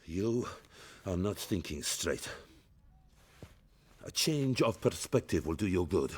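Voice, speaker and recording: An actor playing a stern, menacing Soviet commanding officer in a dramatic game cutscene, threatening and commanding. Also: male voice, sits low, sing-song, slow, a little hiss or hum, heavily acted. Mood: cold, threatening.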